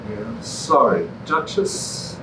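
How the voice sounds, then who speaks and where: An actor playing a wisecracking, flirtatious film noir detective full of hard-boiled slang, in a staged musical comedy reading. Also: male voice, mid-range voice, sing-song, drawn-out words. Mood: tough, cocky. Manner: slangy hard-boiled patter with purple similes. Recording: roomy, distant, noisy live stage recording.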